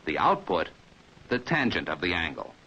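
An American man reading a scripted 1950s training film narration in a measured, precise, didactic manner, as heard on an old film soundtrack. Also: low-pitched, sing-song, pushed and bright, highs cut off, slight background noise.

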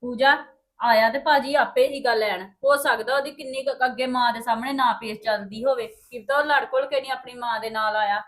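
An actress playing a young daughter-in-law in a family drama who argues back and justifies herself. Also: female voice, on the high side, steady tone, fairly quick, a bit bright, close to an everyday voice, poor audio. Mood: defensive. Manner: argumentative, self-justifying.

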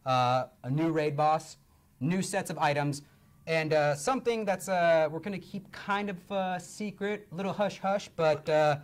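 Young male stream host, a bit hoarse, upbeat, lapel mic in a studio. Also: fairly high pitch, a little slow. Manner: upbeat, filler-heavy presenting. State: hoarse from long talking. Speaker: livestream host. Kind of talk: casual game-show chat. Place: indoor studio set.

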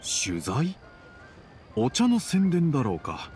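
An actor playing a reserved middle-aged father and merchant in an anime game, with measured, formal speech. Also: fairly high pitch, wildly swooping pitch, music in the background, exaggerated voice. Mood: businesslike.

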